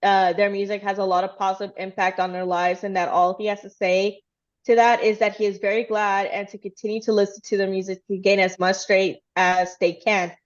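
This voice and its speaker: A woman's voice: mid-range voice, level tone, brisk. Chatty woman on a dull lo-fi mic. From Texas. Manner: chatty, rambling, lots of fillers. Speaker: fan channel host. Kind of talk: casual recap of a livestream.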